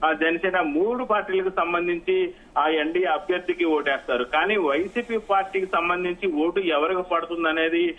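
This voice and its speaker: A man's voice: high-pitched, normal speed. Male reporter on thin phone line. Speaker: news reporter. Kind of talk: live news report, unscripted political analysis.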